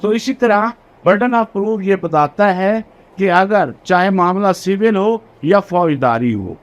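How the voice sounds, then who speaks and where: A man giving a recorded law lecture, explaining step by step and reading legal clauses slowly in English. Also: high voice, animated.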